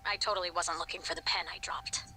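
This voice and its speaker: A woman's voice: lowish voice, steady tone, fast, sharp tone, slight background noise. An actress playing an affectionate young woman in a science-fiction game, scolding playfully in an intimate, teasing way. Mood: playful, hushed. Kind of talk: video game dialogue.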